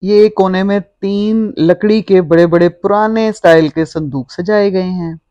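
A woman's voice: medium pitch, measured pace, mellow, no room sound, highs cut off. A middle-aged woman, narrating a walk-through vlog in a descriptive, explanatory way. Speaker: vlogger.

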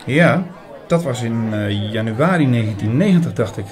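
A man playing an earnest older priest who narrates a radio drama in a measured way, with dramatic pauses. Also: medium pitch, animated, over music, lightly acted. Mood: calm. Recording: busy chatter behind the voice. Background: busy restaurant crowd.

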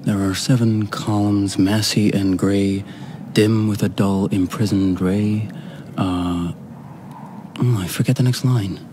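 An actor playing a stiff, smitten young gentleman in a radio drama, polite, earnest and a little hesitant. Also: fairly low pitch, fairly even intonation, takes it slowly, slight background noise.

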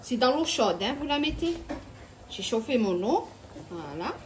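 A woman's voice: a little high, sing-song, unhurried, background noise. A woman hosting a home cooking video, chatting step by step and talking straight to her viewers. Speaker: cooking channel host. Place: home kitchen.

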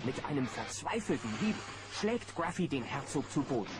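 A man's voice: mid-range voice, sing-song, moderate pace, close to an everyday voice. A man narrating a children's adventure audio play as an engaged storyteller. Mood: suspenseful. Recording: noisy old cassette recording.

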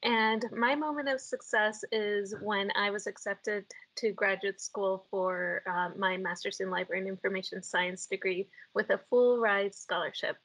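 A woman's voice: mid-range voice, steady tone, moderate pace, hardly any pauses, fairly bright tone, no room sound, rough recording. A woman from Chicago and Mexico City, giving a friendly self-introduction as a librarian. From born in Mexico City, raised in Chicago and Mexico City. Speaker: academic librarian.

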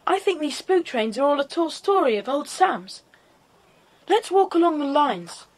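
A woman's voice: sits high, very animated, unhurried. A woman playing a keen, sensible English schoolboy leader in a children's audio drama, with a British accent. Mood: brisk, confident. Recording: faint noisy 1990s cassette audio drama.

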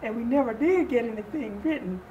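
An older, retired woman telling stories as an interview guest, speaking in deliberate, halting phrases. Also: on the high side, expressive, mellow, lo-fi sound. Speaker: theater group co-founder, interview guest. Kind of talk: interview storytelling. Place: small furnished indoor sitting-room set. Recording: distant mic, noisy room ambience.

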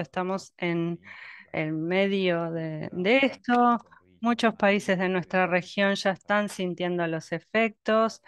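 A woman interpreting a scientific webinar talk live, halting now and then with pauses and fillers. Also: mid-range voice, clean tone. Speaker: simultaneous interpreter.

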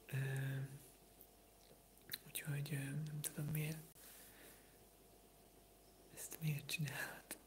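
An eighteen-year-old from Hungary whispers through an ASMR livestream, sick and with a sore throat. The whisper is soft and unvoiced, meandering through hesitant fillers at a middling pitch. A faint hiss sits under the voice. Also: little pitch movement, long pauses. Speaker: ASMR streamer answering viewer comments.

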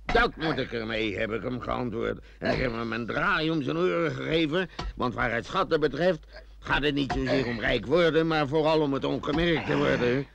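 A creaky-voiced scheming treasure digger. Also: male voice, mid-range voice, normal speed, fairly bright tone. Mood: confiding. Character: scheming, blunt, hard-hearted male treasure digger. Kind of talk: old Dutch radio play acting. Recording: noisy old radio recording.

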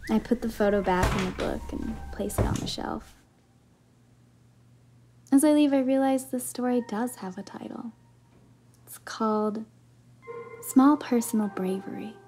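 A woman's voice: on the high side, a little slow, long pauses, mellow. A young woman reading a scripted first-person short story as a narrator. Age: young adult. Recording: music behind the voice.

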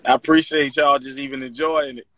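A man's voice: medium pitch, flat delivery, brisk, sharp tone, no room sound. A young man talking casually as a guest rapper on a livestream, recorded on a phone held at arm's length in a car. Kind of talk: casual chat on a livestream show.

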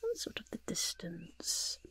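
A woman playing a sly, fussy goblin master of disguise in a hushed, breathy ASMR roleplay, speaking deliberately and close to the microphone. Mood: precise, hushed. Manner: slow, deliberate, refers to self in third person. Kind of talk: ASMR roleplay, soft-spoken whisper.

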